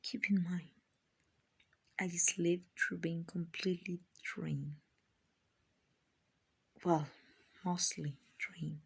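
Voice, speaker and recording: A gentle vampire mistress acted by a woman speaks in a slow, breathy hush, often close to a whisper. Her voice is on the low side, with plenty of creak. She is tender and reassuring throughout, in the manner of an ASMR roleplay. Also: fairly even intonation, exaggerated voice. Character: kind, gentle female vampire mansion owner, soothing a captive.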